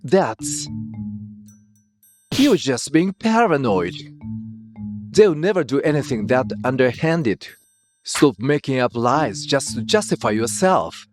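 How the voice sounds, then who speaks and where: An actor playing a self-righteous, clueless husband who scolds and dismisses, in a dramatized manga dub. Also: male voice, medium pitch, big pitch swings, slow, pauses often. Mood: defensive. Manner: scolding, indignant, condescending. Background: music.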